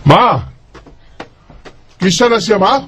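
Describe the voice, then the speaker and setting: A man's voice: high voice, animated, slow, a bit bright, slight background noise, full character voice. A man with a Cebuano Filipino accent playing a cocky, evasive young bachelor in an amateur radio drama. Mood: confused, defensive.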